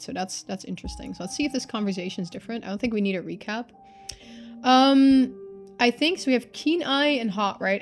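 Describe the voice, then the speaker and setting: A woman streamer giving casual playthrough commentary, thinking aloud and reading game text out. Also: medium pitch.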